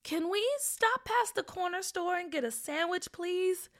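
A woman's voice: extremely high pitch, a little slow, fairly bright tone, pitched above the performer's own voice, heavily acted. A woman voicing a plucky young girl in a story, earnest and casual in her way of talking. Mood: hopeful.

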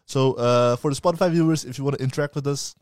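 A young man co-hosting a live podcast stream in casual, relaxed chat, promoting the show's channels. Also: mid-range voice, racing, no echo, clean studio sound. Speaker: podcast co-host.

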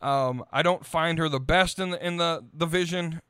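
Blunt man with a clear, higher-set voice. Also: moderate pace, fairly bright tone, no room sound, studio quality. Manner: blunt, profane. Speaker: wrestling podcast host. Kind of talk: casual, opinionated solo podcast talk.

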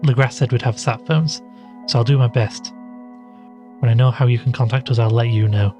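A man's voice: medium pitch, fairly even intonation, moderate pace, warm tone, natural voice. A man playing an anxious podcaster in an audio drama, confiding and earnest as he narrates. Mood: concerned. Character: anxious male podcaster investigating an occult mystery. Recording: music behind the voice.

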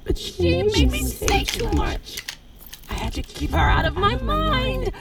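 An eerie female android proclaims doom in an audio drama. Her childlike voice is piercingly high and slow, theatrical and fervent, heard at a distance over a loud crowd din. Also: very animated, creaky, exaggerated voice. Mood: apologetic, eerie.

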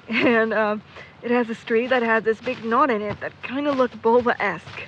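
A woman's voice: on the high side, fairly even intonation, moderate pace, lightly acted. A woman playing a pessimistic, sardonic young searcher in an audio drama, skeptical and natural in delivery. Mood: winded, reflective. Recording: muffled, with clear background noise.

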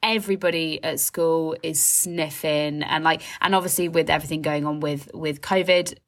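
A woman's voice: low-pitched, steady tone, normal speed. A young woman co-hosting a podcast, chatting candidly and swearing freely, a little snotty from a cold. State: has a cold, a bit snotty. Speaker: podcast co-host. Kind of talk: casual chat.

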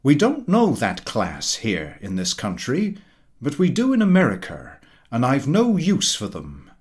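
A man's voice: medium pitch, wildly swooping pitch, a little slow. A man voicing a pompous, opinionated American guest who lectures a dinner table in grand declamatory style, in a storybook reading. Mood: dismissive. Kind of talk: storybook character dialogue.

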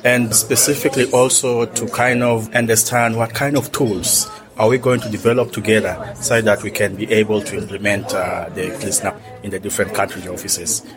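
A man's voice: lowish voice, steady tone, moderate pace, over music. A man introducing himself as a workshop participant, formal and earnest.

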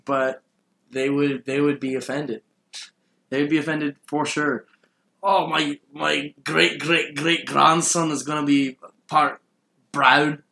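A man's voice: fairly high pitch, level tone, slow pace, vocal fry, bright, forceful tone, slight background noise. Man chatting casually in an echoey room. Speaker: podcast host. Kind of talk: casual chat. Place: room in an apartment. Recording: roomy, echoey sound.

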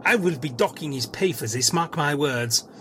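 A man playing a theatrical, pompous, lazy villain in a comedy audio drama, with drawn-out menacing delivery. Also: fairly high pitch, expressive, sharp tone. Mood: pompous, indolent. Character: theatrical, pompous, lazy male villain holding captives at gunpoint. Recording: background ambience, noisy.

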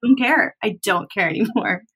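A woman's voice: medium pitch, normal speed, fairly bright tone, breathy edge, low-quality recording. A woman in her thirties hosting a casual chat, talking loosely with plenty of filler words. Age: 30s. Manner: chatty, lots of "like" and "you know".

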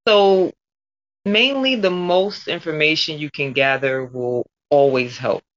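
A woman's voice: sits low, measured pace. A woman voice actor answering interview questions in a conversational style. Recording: dull lo-fi audio.

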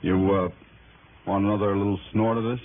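Low-pitched, take-charge pal, acted by a man. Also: flat delivery, fairly quick, over music, lightly acted. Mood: concerned, steadying. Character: concerned, take-charge male friend of the investigator. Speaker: radio drama supporting actor. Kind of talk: 1960s radio drama acting. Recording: muffled, noisy 1960s radio recording.

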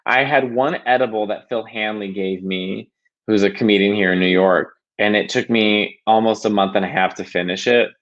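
Halting low-voiced man, lo-fi sound. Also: fairly even intonation, fairly bright tone. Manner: halting, self-conscious, many restarts and likes. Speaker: podcast guest. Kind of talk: casual interview chat.

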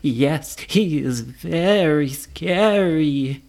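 Woman voicing a slow, timid male ghost, Hector. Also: low-pitched, expressive, darkish tone, exaggerated voice. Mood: timid, unsure. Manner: hesitant, drawn-out delivery.

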